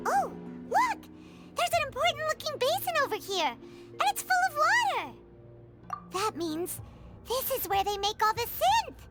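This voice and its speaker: Tiny squeaky fairy sidekick from a video game, super high n bouncy all over, excitable little kid voice, scares easy. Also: female voice, exaggerated voice. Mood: curious, indignant. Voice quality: squeaky, childlike. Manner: third-person self-reference, exclamatory, expressive. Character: tiny floating fairy companion girl, excitable, childlike, easily frightened. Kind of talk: animated video game voice acting. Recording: music behind the voice.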